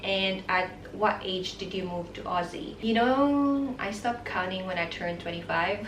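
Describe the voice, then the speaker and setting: A woman's voice: mid-range voice, measured pace, a bit bright, slight background noise. Young Filipino woman vlogger, casual chatty delivery, recorded off-mic in an echoey room. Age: 20s. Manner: chatty, mixing Tagalog and English, giggly asides. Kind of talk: casual Q&A vlog. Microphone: camera mic. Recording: roomy sound, mic at a distance.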